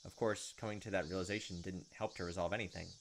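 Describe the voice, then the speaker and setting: A man's voice: deep voice, fairly even intonation, quick pace, noisy background. A young man hosting a gaming video, reading visual novel narration aloud with casual asides. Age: young adult.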